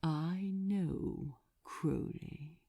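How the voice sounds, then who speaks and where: A woman voicing a commanding male demon lord in an audiobook, solemn and grandiose, with a hissing, softly alluring delivery. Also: low voice, extremely slow, gravelly, dry recording, studio quality, heavily acted.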